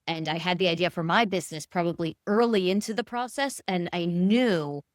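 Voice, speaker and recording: Woman host, low voice, encouraging and reflective, dry close mic. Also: brisk, no room sound. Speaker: podcast host. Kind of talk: casual interview chat.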